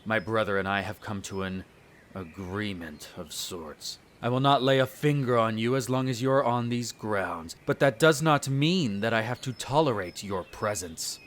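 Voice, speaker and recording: A man's voice: on the low side, normal speed, a little hiss or hum, lightly acted. A man playing an irritable aristocratic vampire in a roleplay audio drama, speaking formally with sneering condescension toward humans. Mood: menacing. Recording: nature ambience with birdsong behind. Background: birdsong and garden ambience.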